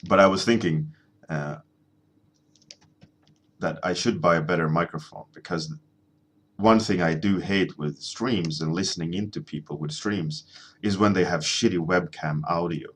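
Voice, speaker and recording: Deep-voiced man chatting while drawing. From Sweden. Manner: rambling, halting, many ums and restarts, chuckling, answering chat. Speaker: artist streaming a drawing session. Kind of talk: casual livestream chat while drawing. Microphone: desk microphone.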